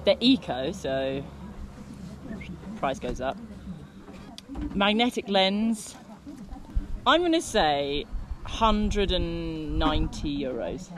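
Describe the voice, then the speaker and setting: A woman with a lively, swooping voice, chatting freely and easily surprised. She is recorded outdoors on a ski slope with clear wind noise behind her. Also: lowish voice, slow pace, long pauses. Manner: chatty, playfully incredulous. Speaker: friend on a ski day. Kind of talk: casual outdoor chat.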